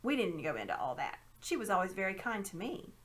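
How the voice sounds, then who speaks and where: A woman's voice: medium pitch, big pitch swings, fairly quick, fairly bright tone. A woman voicing a smug, pompous old man with a condescending, grandiose manner, as in a storybook reading. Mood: evasive, self-satisfied. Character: smug, self-important old man, a scheming magician uncle. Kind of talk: storybook read-aloud character voice.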